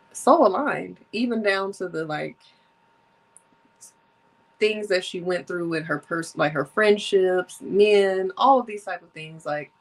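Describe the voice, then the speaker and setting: A woman's voice: lowish voice, rough recording. A woman in her thirties hosting her own podcast, talking casually and animatedly and laughing often. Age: 30s. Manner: chatty, animated, laughs often, sips drinks. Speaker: podcast host. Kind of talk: casual solo podcast chat.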